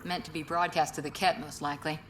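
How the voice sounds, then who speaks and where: Low-voiced, pragmatic space squadmate. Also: female voice, steady tone, fast, fairly bright tone, soft background music. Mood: matter-of-fact. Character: pragmatic, cautious female squadmate in a space adventure. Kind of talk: video game voice acting.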